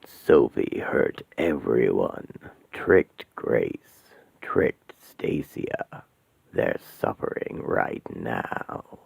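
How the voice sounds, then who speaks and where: A man voicing an ancient, hateful male demon regent who speaks in slow, whispered, menacing taunts. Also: rumbling low, very animated, creaky, a little mellow, husky, no echo, voice pushed down, exaggerated voice. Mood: taunting. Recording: tinny phone-like sound.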